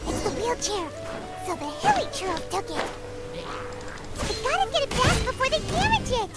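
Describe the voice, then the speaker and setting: A woman voicing a small, eager, childlike fairy companion in a video game, squeaky and excitable. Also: normal speed, over loud music. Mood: excited. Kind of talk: game voice acting.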